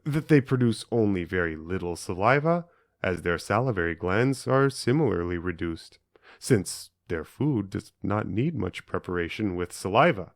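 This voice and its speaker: A man reading scripted audiobook narration in deliberate, measured phrases with emphatic stresses. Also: on the low side. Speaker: audiobook reader.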